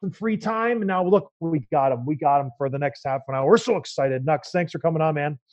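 A man's voice: a little high, brisk, a little mellow, dry recording. A man hosting a podcast, chatty and upbeat, often laughing with his guest. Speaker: podcast host. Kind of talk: casual podcast conversation. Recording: dry, slightly dull sound.

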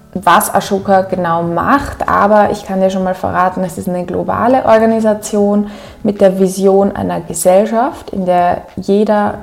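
A woman's voice: mid-range voice, gravelly, darkish tone. A young woman with an Upper Austrian accent, hosting a podcast and chatting to listeners with frequent ums. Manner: chatty, frequent ums, friendly direct address. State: recovering from a cold. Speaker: podcast host. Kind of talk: solo podcast intro.

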